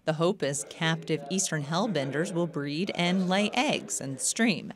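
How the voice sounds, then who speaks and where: A woman news reporter reading a scripted report with a lively delivery. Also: low voice, a little slow.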